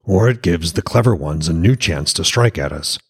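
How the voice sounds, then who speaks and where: Man voicing a stern, deep Norse god-king. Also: lively intonation, moderate pace, slightly rough, heavily acted.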